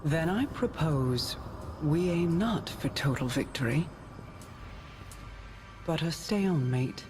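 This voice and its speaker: An actor playing a calm, formal, wary commander in a video game cutscene, with measured, deliberate phrasing. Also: medium pitch, music in the background. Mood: grave, measured.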